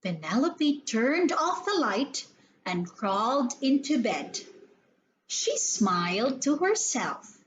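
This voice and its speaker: A woman reads a storybook to young children in a high voice. Her delivery is extremely slow and very animated, with theatrical weight on each phrase. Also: slight background noise, rough recording. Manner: theatrical, deliberate emphasis and repetition. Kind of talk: expressive read-aloud storytelling for young children.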